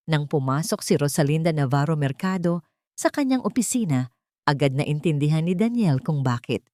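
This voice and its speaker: A woman narrating a scripted romance drama in a measured, dramatic way, in short phrases. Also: low-pitched, a little mellow, no echo, clean studio sound.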